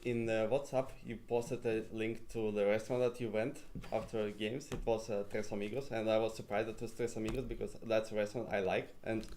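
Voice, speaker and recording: A man player giving a nervous, halting timed speech with many pauses and restarts. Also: fairly low pitch, little pitch movement, a little mellow, slight background noise. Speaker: tournament player.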